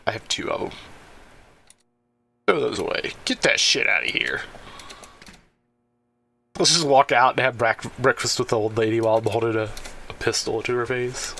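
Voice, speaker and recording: A man's voice: mid-range voice, normal speed, creaky, pauses often, bright, forceful tone, a little hiss or hum. A man giving casual, rambling, sweary gameplay commentary as a gaming host on a headset. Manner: laid-back, rambling, sweary. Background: faint game music.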